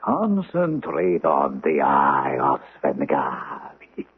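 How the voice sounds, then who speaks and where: A sinister male hypnotist, pompous and controlling. His voice is low and very husky, with slow, swooping delivery. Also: huge pitch swings, heavily acted. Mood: commanding, hypnotic. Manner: pompous, speaks of himself in third person. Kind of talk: radio drama acting. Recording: scratchy, narrow-band old radio recording.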